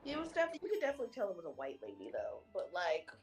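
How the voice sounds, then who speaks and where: Woman streamer, animated storyteller, pitches her voice up from a deeper natural tone. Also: rapid-fire. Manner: animated venting, acts out quoted voices. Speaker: stream co-host. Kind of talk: casual chat. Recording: music playing behind.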